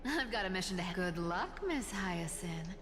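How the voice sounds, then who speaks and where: A woman's voice: lowish voice, animated, moderate pace, background noise, close to an everyday voice. A woman voicing a breezy, roguish trickster in a video game, playful and teasing, in heavy reverb over music. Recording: long reverb, background music.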